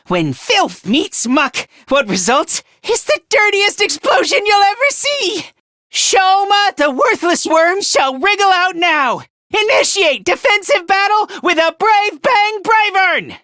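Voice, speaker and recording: A man's voice: very high-pitched, pushed and bright, dry recording, studio quality, heavily acted. A male actor voicing a meek, anxious, self-loathing young man fighter in an anime-style video game, stammering and apologetic.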